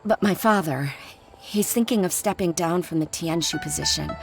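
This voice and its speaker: A woman voicing a young female official in a video game, soft-spoken and earnest. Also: on the low side, normal speed, gravelly, faint music behind. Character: young woman official, worried for her father. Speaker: game character.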